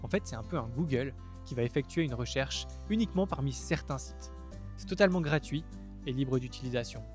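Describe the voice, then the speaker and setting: A man's voice: medium pitch, animated, brisk, soft background music. A young man, a student, hosting a theology podcast, reading a sponsor segment and introducing guests in a friendly way. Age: young adult. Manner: friendly, scripted intro, clear. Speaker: podcast host.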